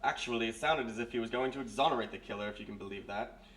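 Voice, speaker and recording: A man's voice: medium pitch, rapid-fire, fairly bright tone, close to an everyday voice. A man playing a stern, dry army major with clipped, formal speech in a live radio mystery. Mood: brisk, matter-of-fact. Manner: clipped, formal, matter-of-fact. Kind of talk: live old-time radio mystery acting. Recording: roomy live stage, distant mic.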